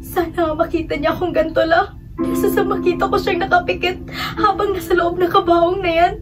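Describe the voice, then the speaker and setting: A woman's voice: extremely high pitch, steady tone, brisk, distant mic, over loud music. A woman with a Filipino accent playing a grieving, bitter student daughter in an amateur radio drama. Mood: grieving, anguished.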